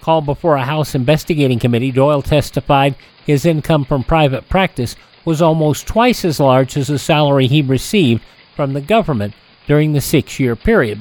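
A man hosting a classic radio show, reading old newspaper headlines in a steady newsreader delivery with small slips and self-corrections. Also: fairly high pitch, rough recording.

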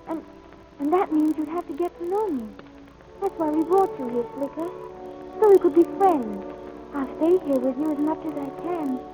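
A man's voice: very high voice, poor audio. A young male actor playing an earnest, tender ranch boy in a 1940s radio drama. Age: child or young teen. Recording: old radio drama, music behind.

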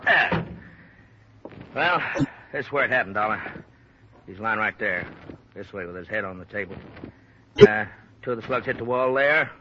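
An actor playing a seasoned, laconic small-town sheriff with an American accent and a folksy way of talking, in a radio drama. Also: male voice, lowish voice, animated, moderate pace, long pauses, a bit bright. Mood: matter-of-fact. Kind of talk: 1950s radio drama acting. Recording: muffled, noisy old radio recording.

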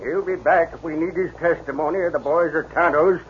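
A man playing a folksy, easygoing frontier sheriff in a 1950s radio drama, with a raspy, drawling voice on a tinny old radio recording. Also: fairly high pitch, fairly even intonation, a little fast.

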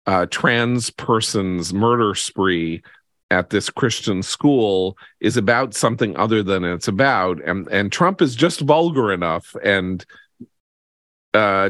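An American man with a very low voice hosts a political talk podcast. He speaks emphatically but haltingly, circling back to repeat phrases for effect. The sound is dry, with no room echo. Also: a bit bright. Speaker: podcast host. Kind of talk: political commentary in conversation.

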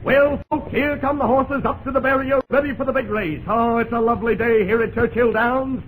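A man playing an excited horse race announcer with projected, showy 1930s radio delivery. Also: very high voice, a little fast. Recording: noisy 1930s radio recording.

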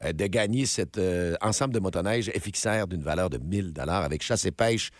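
A man's voice: low-pitched, a little fast, no room sound. A man with a Quebec French accent hosting a morning radio show, friendly and thanking guests. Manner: friendly, warm sign-offs and thanks. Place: radio studio. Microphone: studio mic. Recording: radio studio with music bed. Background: music bed.

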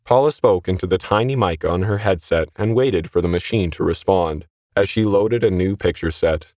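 A man's voice: sits low, moderate pace, darkish tone, no echo, studio quality. A man narrating a scripted short story as its reader.

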